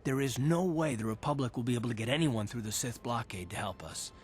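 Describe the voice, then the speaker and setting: A man's voice: mid-range voice, fast, a little hiss or hum, lightly acted. An actor playing a resolute, weary male Republic soldier, speaking earnestly in a dramatic video game style, explaining with some urgency. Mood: earnest, determined.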